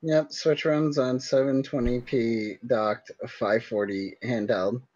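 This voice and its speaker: A man's voice: mid-range voice, steady tone, takes it slowly, a little mellow. A man co-hosting a gaming podcast in casual chat, blunt and skeptical, on muffled audio. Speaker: video game podcast co-host.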